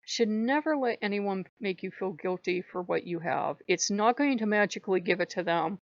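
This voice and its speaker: A slightly hoarse woman hosting her own channel, talking in an earnest, rambling way and repeating phrases for emphasis. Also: mid-range voice, moderate pace, no echo, highs cut off. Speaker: channel host. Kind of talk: casual spiritual vlog monologue.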